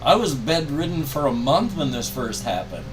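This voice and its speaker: A man's voice: fairly high pitch, sing-song, normal speed, slight background noise. An older man talking in a casual personal vlog, rambling and stressing his words emphatically. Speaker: video creator. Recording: distant mic, music behind. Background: music.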